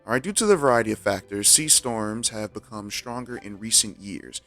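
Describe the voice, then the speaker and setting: A man streaming a game, reading its text aloud and commenting casually with halting, rambling asides. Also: on the low side, lively intonation, fairly bright tone, soft background music.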